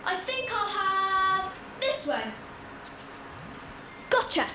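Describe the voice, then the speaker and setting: A woman's voice: extremely high pitch, very animated, drawn-out words, heavily acted. A woman playing a spoiled, bossy little rich girl in a children's audio drama, petulant and imperious. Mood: demanding. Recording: faint hiss, 1990 cassette dramatisation.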